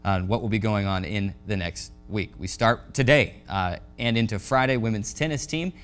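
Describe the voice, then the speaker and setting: Man, sports show host, lowish pitch, halting delivery, music underneath. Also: clear, resonant tone. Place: studio set.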